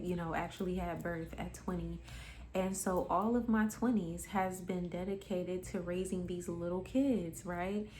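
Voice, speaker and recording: Slow, low-voiced young woman vlogger. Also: darkish tone, a little hiss or hum. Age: 20s. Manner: candid and emphatic, swears freely, calls the listener honey. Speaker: spiritual vlogger. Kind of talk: casual motivational monologue.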